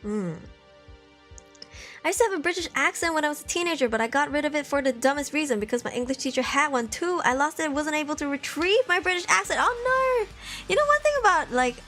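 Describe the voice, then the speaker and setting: A young woman from Malaysia chatting casually on a live stream, reading viewer messages aloud and laughing often. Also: brisk. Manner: chatty, laughs often, lively jumps in pitch. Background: faint background music.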